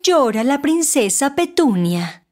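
A woman narrating a scripted children's story in an expressive, deliberate storytelling manner. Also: high voice.

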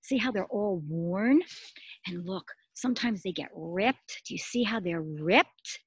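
A middle-aged woman, a preschool teacher, reads a story aloud expressively, pausing to explain simply and ask small children questions. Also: medium pitch, slightly rough, no room sound. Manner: expressive, addressing small children with simple words and emphatic repetition. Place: outdoors in a garden.

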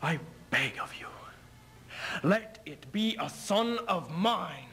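Through noticeable noise on an amateur stage recording comes an aging king acted by a young man, bitter and sardonic. His theatrical lines are slow and weighty, swinging widely in pitch. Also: expressive, a bit bright, full character voice. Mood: weary, bitter. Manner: declamatory, deliberate, sardonic. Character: aging, embattled English king, bitter, mocking, wounded husband. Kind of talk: theatrical readers theater duo performance. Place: stage in front of curtain. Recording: noisy amateur stage video sound.

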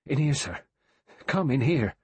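A man's voice: mid-range voice, animated, a little slow, husky, no room sound, voice pushed up. Man acting a deferential, apologetic butler. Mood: agitated, pleading. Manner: servile, apologetic. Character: deferential male butler, restrained but easily rattled.

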